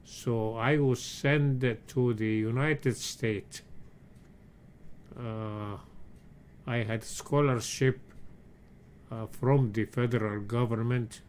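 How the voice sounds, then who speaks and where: A man in his seventies from Egypt, telling his life story slowly and haltingly in an oral history interview at his home. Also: mid-range voice, darkish tone, slight background noise. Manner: slow, halting storytelling with many pauses and fillers. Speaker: interviewee, retired engineering professor.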